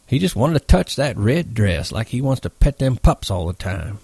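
A man voicing a gruff, protective ranch hand who talks in a blunt, folksy, emphatic way in a story. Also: medium pitch, big pitch swings, moderate pace, darkish tone, no echo, voice pushed up, full character voice. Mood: animated recounting.

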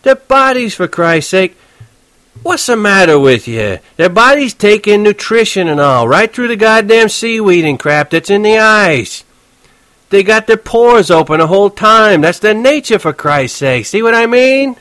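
A man voicing an impatient middle-aged cab driver who talks rough street slang and keeps yelling. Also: sits high, sing-song, measured pace, no room sound, pitched above the performer's own voice, exaggerated voice. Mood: irritated, yelling.

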